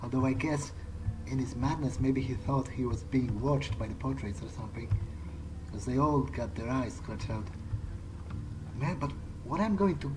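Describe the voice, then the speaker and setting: A man's voice: medium pitch, moderate pace, noisy background, lightly acted. A man voicing a curious, wary vampire in a video game playthrough, casually thinking aloud to himself. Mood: unsettled, puzzled. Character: curious, wary male vampire exploring a creepy mansion. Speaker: let's player playing in character. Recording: music behind the voice. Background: game soundtrack music.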